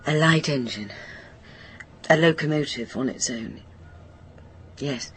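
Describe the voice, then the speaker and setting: A woman's voice: low-pitched, slow, a little hiss or hum. A woman playing a haunted, wistful older woman burdened by guilt, speaking hesitantly and reflectively in a radio drama. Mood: wistful, subdued. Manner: hesitant, reflective, breaking into near whispers. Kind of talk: naturalistic radio drama acting.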